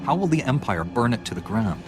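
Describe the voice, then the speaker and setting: An actor playing a calm, resolute warrior-monk hero with a measured, direct manner in a video game. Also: male voice, mid-range voice, lightly acted. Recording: clear background music.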